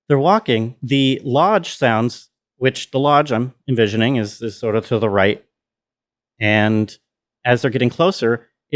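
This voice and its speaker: A man giving a casual tutorial walkthrough as a sound designer, thinking aloud in a halting way. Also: mid-range voice.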